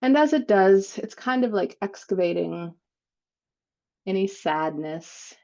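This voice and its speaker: Female meditation guide, very slow delivery, slightly low register, dull lo-fi recording. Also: animated, a little mellow. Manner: slow, unhurried phrasing with long pauses. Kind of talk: guided meditation, spiritual visualization.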